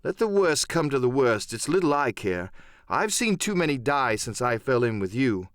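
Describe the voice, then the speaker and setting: A man's voice: mid-range voice, sing-song, moderate pace, no room sound, studio quality, lightly acted. A man playing a brave, defiant teenage boy in an audiobook, speaking in an earnest, bold, declamatory way. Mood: defiant, excited.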